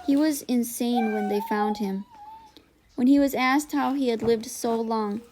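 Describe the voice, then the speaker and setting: A woman's voice: fairly high pitch, unhurried, a little mellow, slight background noise, poor audio. A woman narrator reading a children's novel aloud in audiobook style.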